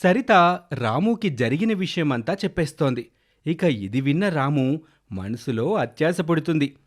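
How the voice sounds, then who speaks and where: A man's voice: on the high side, sing-song, normal speed. A man narrating a scripted children's moral story.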